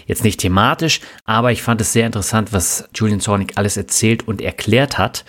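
A man with a slightly low voice hosts a finance podcast, speaking politely and in a measured way. He thanks guests formally and steadily introduces each interview segment. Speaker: podcast host.

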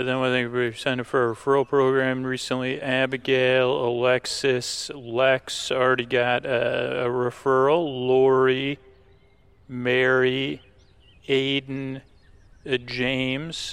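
A man hosting a podcast, casually thanking listeners in a rambling, halting way. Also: medium pitch, vocal fry, fairly bright tone, smooth. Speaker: podcast host.

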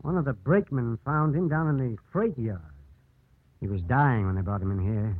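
An actor playing a grave, matter-of-fact small-town doctor in a vintage radio drama. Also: male voice, medium pitch, moderate pace, no echo, soft background music, natural voice. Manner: grave, factual. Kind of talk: 1940s-50s radio acting. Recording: muffled old radio broadcast.

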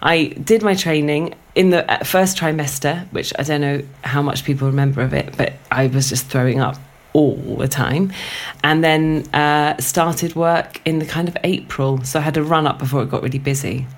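A woman's voice: low-pitched, fairly even intonation, moderate pace. A woman guest telling a rambling personal story in casual conversation, laughing often. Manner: chatty and rambling, laughs often, many fillers. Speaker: guest, writer and former lifeguard.

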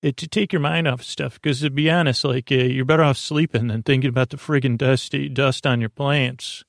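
Gravelly, meandering man telling bedtime stories. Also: mid-range voice, steady tone, dry recording, clean studio sound. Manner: meandering, halting, self-deprecating, goofy. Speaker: podcast host. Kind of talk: rambling, casual bedtime storytelling monologue for a sleep podcast.

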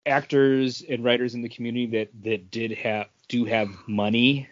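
A man's voice: mid-range voice, level tone, normal speed, no room sound. A middle-aged man co-hosting a podcast, chatting casually about entertainment news in a rambling, anecdotal way. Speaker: podcast co-host. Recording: dull lo-fi sound.

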